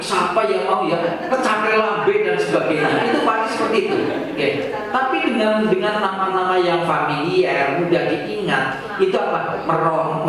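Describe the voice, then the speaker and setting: A man with a Banyumasan Javanese accent giving an informal, didactic speech to teachers, full of rhetorical questions and colloquial Javanese. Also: sits high. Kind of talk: informal public address to teachers. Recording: echoey room from far off, faint noise.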